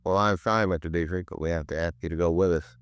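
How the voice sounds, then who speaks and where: An actor playing a polite but firm police detective in a 1950s radio drama, clipped, deadpan and matter-of-fact. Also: male voice, deep voice, quick pace, clean tone, no room sound.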